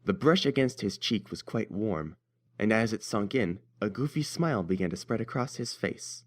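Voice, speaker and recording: A man's voice: on the low side, animated, moderate pace. A man narrating a scripted story as its reader.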